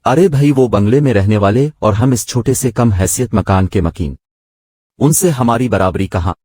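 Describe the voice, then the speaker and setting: Man playing middle-aged mother, storybook, resigned, cautioning, plain-spoken, rough husky tone. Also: on the low side, normal speed, dark tone, no echo, studio quality, natural voice. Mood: sceptical, chiding.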